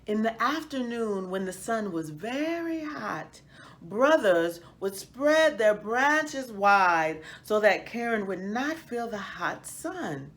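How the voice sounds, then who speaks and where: A woman reading a children's picture book aloud as a storyteller, in a deliberate, expressive manner. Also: mid-range voice. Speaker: read-aloud host, storyteller.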